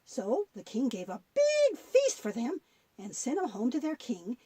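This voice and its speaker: A woman's voice: on the high side, wildly swooping pitch, a little slow, mellow, breathy edge, no room sound, full character voice. A woman playing a kindly, folksy grandmother who patiently tells Bible stories in a children's audio drama. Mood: warm, instructive.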